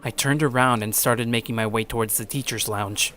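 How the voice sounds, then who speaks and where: A man acting as a blunt high school boy, narrating his own story and speaking dialogue in an earnest, tough way. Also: steady tone, brisk, fairly bright tone, lightly acted. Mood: serious, determined. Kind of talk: first-person dramatic narration and dialogue.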